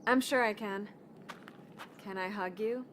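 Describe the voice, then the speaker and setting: A woman playing a warm, candid woman in a naturalistic audio drama, speaking in an open, earnest, conversational way. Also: medium pitch, a little slow, slight background noise, natural voice. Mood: warm, affectionate. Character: warm, candid woman caught up in a smuggling scheme.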